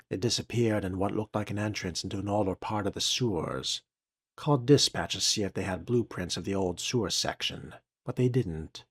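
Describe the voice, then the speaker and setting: A man narrating a role-playing game in character and reading documents aloud, with a measured, formal, dry delivery. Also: low voice, slightly rough, no room sound.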